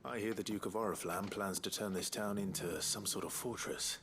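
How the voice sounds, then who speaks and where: An actor playing a grave, courteous adventurer in a fantasy game, measured and understated in manner. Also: male voice, lowish voice, fairly even intonation, background noise, lightly acted. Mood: calm inquiry. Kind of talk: scripted fantasy game acting.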